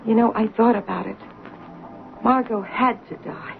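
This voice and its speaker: A muffled 1970s radio play with faint noise. a fixated soap-opera fan, high-voiced and righteous. She speaks with fervent, moralizing conviction that borders on frantic. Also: normal speed, gravelly. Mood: righteous, preachy. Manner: earnest, solemn moralizing that rises into frantic protest. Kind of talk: 1970s radio drama acting. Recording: muffled, noisy old radio broadcast.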